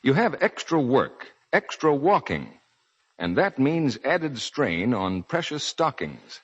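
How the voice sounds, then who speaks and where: A man's voice: medium pitch, big pitch swings, slow, breathy edge. An American man announcing on a 1940s radio show, reading scripted copy in a formal, emphatic sales delivery. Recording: dull vintage 1940s radio broadcast.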